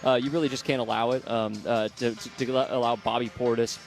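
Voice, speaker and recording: A man analyses a basketball game live in a fast, conversational flow, doubling back on words as he thinks. His pitch is average, and there is music faintly behind him. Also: little pitch movement.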